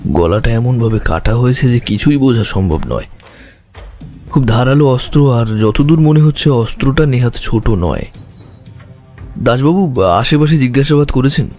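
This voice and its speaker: A calm, probing detective acted by a man in an audio drama. His mid-pitched voice moves with expressive inflection as he questions and reasons deliberately, with music behind him. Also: close to an everyday voice. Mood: analytical, grave. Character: calm, probing male detective, sharply observant.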